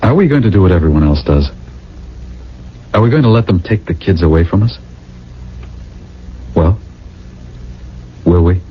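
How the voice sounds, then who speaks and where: An actor playing an earnest, lovestruck husband, a nostalgic misfit of the future, in a radio drama, with halting, sincere delivery. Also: male voice, sits low, animated, slight background noise. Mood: anxious, tender. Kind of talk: 1980s radio drama acting. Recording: dull vintage radio broadcast with music.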